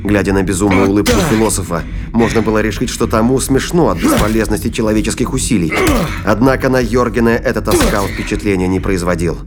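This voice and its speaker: A man's voice: fairly low pitch, quick pace, heavy background noise. A man reading scripted dramatic narration as the narrator of an audio drama.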